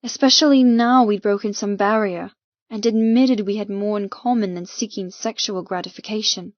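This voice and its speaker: A woman's voice: mid-range voice, normal speed, no room sound. A woman reading first-person fiction as an audiobook narrator, with an emotive, dramatic delivery. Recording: dull, muffled, dry recording.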